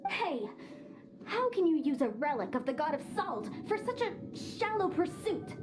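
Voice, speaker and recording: An earnest young scholar. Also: female voice, high voice, very animated, normal speed. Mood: reproachful. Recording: game cutscene over music. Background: music.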